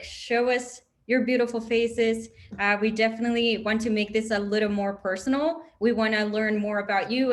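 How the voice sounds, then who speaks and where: Upbeat, chatty woman on a video call. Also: a little high. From Chile. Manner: upbeat, chatty, many fillers. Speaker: host, operations manager. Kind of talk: online info session hosting.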